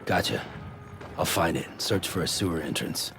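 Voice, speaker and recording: Very deep, gravelly stoic loner. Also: male voice, lively intonation, moderate pace, noisy background. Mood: matter-of-fact. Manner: terse, blunt, guarded. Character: gruff, weary, stoic loner hero, protective and blunt. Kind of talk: understated cinematic video game acting.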